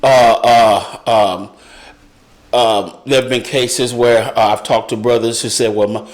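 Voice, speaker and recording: A man hosting a casual live discussion, speaking haltingly as he searches for his words. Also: medium pitch, little pitch movement.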